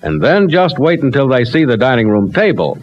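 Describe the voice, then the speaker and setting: Old-timey radio ad man, salesy and animated, muffled hissy audio. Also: mid-range voice, brisk. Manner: emphatic, salesmanlike. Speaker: commercial announcer. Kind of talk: 1940s radio ad pitch. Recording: dull, noisy old radio transcription.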